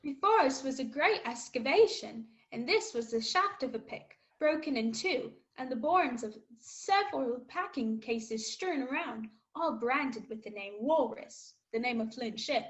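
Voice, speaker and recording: Woman as a high-voiced boy narrating a sea adventure. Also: lively intonation, measured pace, hardly any pauses. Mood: storytelling. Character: young cabin boy narrating his adventure. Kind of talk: scripted dramatic narration.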